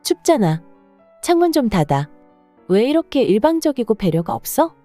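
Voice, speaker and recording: A woman's voice: on the high side, very animated, normal speed, dark tone, dry recording, soft background music. A woman voicing an irritable, demanding young wife in a scripted radio story reading. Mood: irritated. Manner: accusing, complaining. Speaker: voice actor reading the wife's lines.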